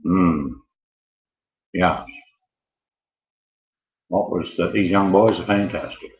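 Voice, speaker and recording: Deep voiced guy running a casual online quiz, rambly and jokey, echoey room. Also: fairly even intonation, takes it slowly, narrow, tinny audio. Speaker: quiz host. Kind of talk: informal live online quiz hosting.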